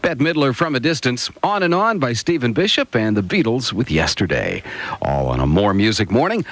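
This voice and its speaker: A man's voice: medium pitch, sing-song, normal speed, a bit bright. A man working as a radio disc jockey, announcing music, time and weather in a studio.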